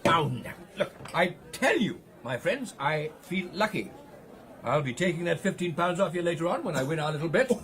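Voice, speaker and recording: A hearty English gentleman of the old club sort. His voice sits high for a man and swoops freely as he bosses and boasts in period radio-play style. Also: animated, moderate pace. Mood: hearty, confident. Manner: jovial, bossy, clubbish old-fashioned turns of phrase. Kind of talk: period radio drama acting.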